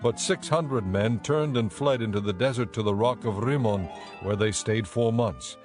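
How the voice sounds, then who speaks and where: A man's voice: fairly low pitch, moderate pace, a little mellow, faint music behind. A man reading scripted dramatic Bible narration as the narrator.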